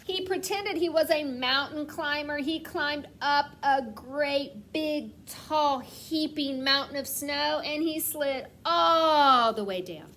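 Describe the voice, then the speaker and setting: A woman reading a picture book aloud like a kindergarten teacher, stressing words expressively and putting questions to the children. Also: sits high. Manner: asks questions and pauses as if children answer; expressive storytelling with slowed, emphasised words. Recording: clear background noise.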